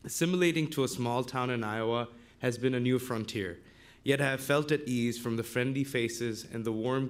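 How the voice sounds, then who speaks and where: Young guy from bangladesh reading a formal speech to city council, measured and polite. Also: medium pitch. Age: 20s. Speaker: student representative. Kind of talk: prepared public address. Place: council chamber.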